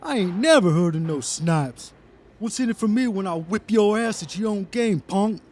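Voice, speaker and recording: A man's voice: sits high, animated, normal speed, a little mellow. A man playing a combative, scrappy tough guy in an audiobook, talking confrontationally. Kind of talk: audiobook character acting.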